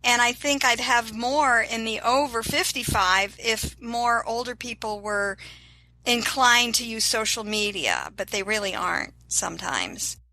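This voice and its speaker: A woman's voice: a little high, expressive, measured pace, pushed and bright, no echo, poor audio. An older woman talking as an interview guest, upbeat and encouraging in a casual motivational tone.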